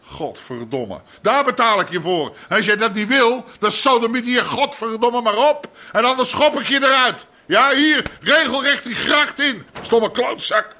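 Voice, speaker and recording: An actor playing a bullying, foul-mouthed red-light crime boss in a radio drama, gruff and sarcastic. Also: male voice, extremely high pitch, expressive, moderate pace, hardly any pauses, bright, forceful tone, full character voice. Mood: furious.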